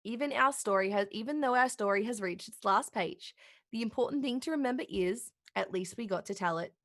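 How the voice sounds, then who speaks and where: A woman playing a gentle children's puppet show host, explaining things in a patient, careful way. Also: medium pitch, a little fast, no room sound, studio quality, close to an everyday voice. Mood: consoling. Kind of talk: children's television host acting, play reading.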